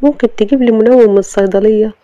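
A narrator doing a defiant young Egyptian wife. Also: female voice, a little high, racing, no echo, lo-fi sound, pitched above the performer's own voice, close to an everyday voice. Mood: pleading. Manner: indignant, sharp retorts. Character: young wife, defiant, quick-tempered and proud. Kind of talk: storybook audio drama.

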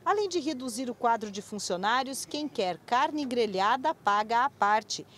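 A woman's voice: a little high, animated, a little slow, a bit bright. A woman with a Brazilian accent narrating a scripted television news report.

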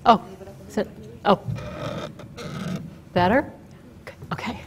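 An older woman giving a chatty, story-driven talk at a podium, telling it with fillers and asides in a loud voice. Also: medium pitch, slow pace, slight background noise. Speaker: guest speaker. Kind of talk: lecture with slides. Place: auditorium stage at a podium. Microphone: podium mic.

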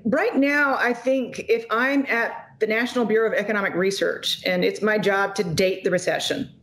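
A woman in her forties, an economist guest, talking emphatically and quickly over a video call from a home office. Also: medium pitch. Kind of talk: animated interview commentary. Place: home office in front of a logo backdrop. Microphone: video call webcam.

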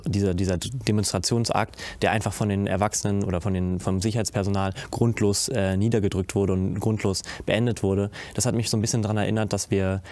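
A young man speaks intensely on a studio panel, stumbling over restarts and fillers. His voice is lowish and monotone, recorded dry and close. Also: dry recording. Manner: intense, many hesitations and restarts. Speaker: youth panelist. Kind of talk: panel discussion. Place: indoor studio set with curtains.

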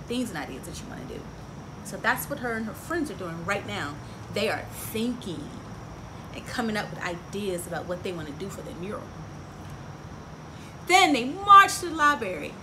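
A middle-aged woman hosting a children's story time, reading a picture book aloud expressively and asking the young listeners questions. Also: on the high side. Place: outdoors on a porch by trees. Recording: clear outdoor ambient noise.

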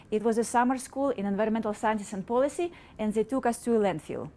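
A middle-aged woman from Ukraine, speaking English with a Ukrainian accent, gives a public talk in halting, informal phrasing, using a handheld mic in a store event room before a small audience. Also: mid-range voice, a little mellow. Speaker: guest speaker.

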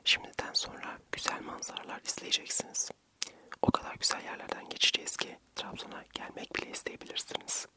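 A young man whispering gently and soothingly in a breathy voice, narrating an ASMR vlog close to the mic. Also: brisk. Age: young adult. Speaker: ASMR vlogger.

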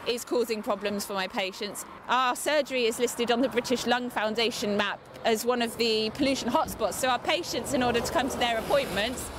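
A woman's voice: on the high side, a little fast, few pauses. Concerned woman doctor over traffic noise. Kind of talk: interview.

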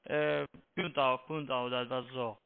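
A man with a foreign accent, a non-native speaker giving instructions on a phone call in halting, repetitive, broken phrases. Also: mid-range voice, steady tone, gravelly, clear, resonant tone. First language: not German. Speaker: phone scam call-centre agent. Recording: tinny, band-limited phone line.

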